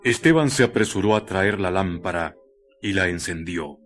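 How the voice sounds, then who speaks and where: A man narrating a scripted radio drama in a measured, literary storytelling manner. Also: deep voice, animated, a bit bright. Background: music.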